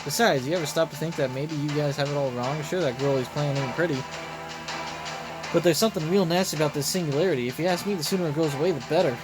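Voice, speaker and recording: A man's voice: fairly high pitch, fast, lightly acted. Man playing a smug, roguish merchant, music behind. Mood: breezy, scheming. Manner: folksy, breezy, wheedling. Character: roguish greedy male merchant, smugly philosophical schemer.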